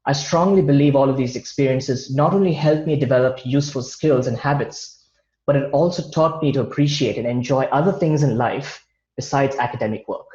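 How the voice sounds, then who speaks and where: A man presents a prepared talk over a video call. He reads from notes at an even, careful pace and sometimes restarts a word. The sound is roomy and dull. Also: mid-range voice. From India. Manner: measured, read-from-notes delivery with occasional restarts. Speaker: guest speaker. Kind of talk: online presentation over slides.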